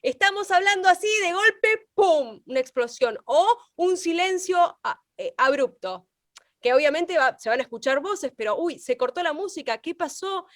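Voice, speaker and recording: Female Argentine instructor, high-pitched, expressive, illustrating points with examples, close dry sound. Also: moderate pace, dry recording. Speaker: radio language teacher. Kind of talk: explaining with acted-out examples.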